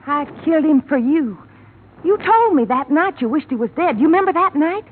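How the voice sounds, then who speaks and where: An actress playing Millie, an obsessive hired girl confessing a killing, in 1950s radio drama style with low, pleading intensity. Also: animated, moderate pace. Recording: tinny old radio broadcast, faint hiss.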